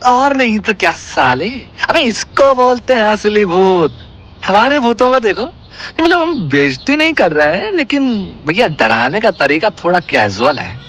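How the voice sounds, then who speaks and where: A man playing a chatty, flirty, bumbling comic ghost in an audio drama, excitable and rambling. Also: sits high, moderate pace, exaggerated voice. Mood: chatty, indignant. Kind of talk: comedy audio drama acting.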